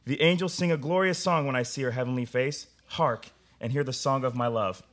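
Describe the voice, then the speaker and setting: A man's voice: mid-range voice, brisk, a bit bright, close to an everyday voice. A young man playing a pompous, easily exasperated theater student in a comedic radio play, grandiose and theatrical. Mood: melodramatic. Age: young adult. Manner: grandiose, theatrical, whiny when thwarted. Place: small studio room, seated at a table with stage mic.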